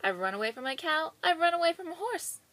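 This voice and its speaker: A woman's voice: extremely high pitch, big pitch swings, quick pace, sharp tone, no room sound, pitched above the performer's own voice, exaggerated voice. A woman voicing a small, cheeky gingerbread boy who taunts his chasers in a boastful sing-song. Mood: gleeful taunting.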